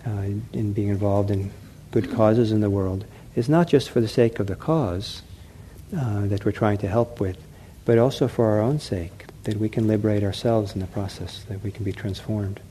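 A middle-aged man giving a dharma talk as a meditation teacher, gentle and unhurried, often pausing with fillers and restarting his phrases. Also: on the low side, steady tone, slight background noise. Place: meditation center hall.

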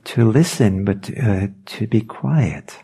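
A man whose first language is Norwegian, giving a reflective meditation talk, pausing and searching for words as he goes. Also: on the low side, dark tone, breathy edge. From Norway. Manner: thoughtful, hesitant, searching for words.

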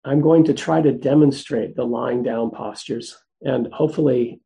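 Soft-spoken man with a gravelly, dark voice. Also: mid-range voice. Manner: gentle, unhurried, with frequent pauses and fillers. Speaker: meditation teacher. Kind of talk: guided meditation instruction.

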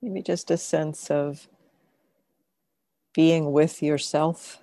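A woman with a low, mellow voice leads a guided meditation. She speaks very slowly and gently, leaving long pauses between short phrases. The sound is close and dry. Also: fairly even intonation, no echo. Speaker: meditation teacher.

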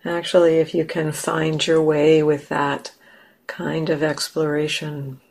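Slow, creaky-voiced woman guiding meditation. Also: deep voice, monotone. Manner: gentle, unhurried with long pauses. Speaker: meditation teacher. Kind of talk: guided meditation.

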